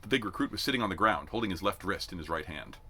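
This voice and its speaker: A man reading a novel aloud as an amateur narrator, in an expressive storytelling style. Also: sits low, fast, husky. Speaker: amateur reader.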